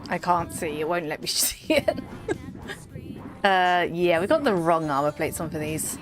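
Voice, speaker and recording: A woman's voice: fairly low pitch, lively intonation, unhurried, faint music behind. Giggly woman streamer with a slight cold. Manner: giggly, chatty, talks to chat by name. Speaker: game streamer. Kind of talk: casual live-stream chat.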